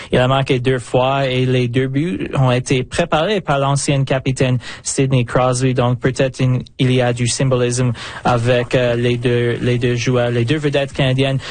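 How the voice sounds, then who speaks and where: A man's voice: medium pitch, dry recording. Male sports reporter, close dry sound. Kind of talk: live radio sports update.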